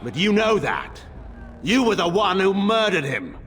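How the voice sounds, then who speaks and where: A man's voice: high voice, sing-song, measured pace, fairly bright tone, slight background noise, heavily acted. An actor playing a proud, suspicious nobleman general in a video game, declaiming with sardonic, theatrical oratory in a very reverberant hall. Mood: cold, defiant.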